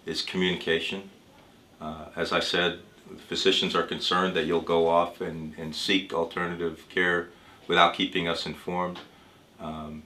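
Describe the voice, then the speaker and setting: Man acting as a deep-voiced, measured doctor, roomy sound. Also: pushed and bright. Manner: measured, hesitant, explanatory. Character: reassuring male physician talking with a cancer patient. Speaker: doctor in a training dramatization. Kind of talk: role-played consultation, semi-improvised dialogue. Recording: roomy echo with music underneath.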